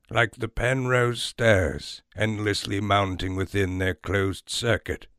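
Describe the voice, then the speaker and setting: A man narrates literary prose at an unhurried, slow pace. His voice has a heavy creak at the edges, and he sets out each phrase deliberately. The sound is dry, with no room around it. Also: on the low side, a bit bright, no room sound. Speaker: storyteller and podcast host.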